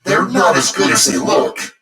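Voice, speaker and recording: A man's voice: a little slow, natural voice. Man playing a polite, naive young lodger, echoey. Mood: puzzled. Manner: courteous, hesitant, earnest. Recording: echoey, distant voice over music.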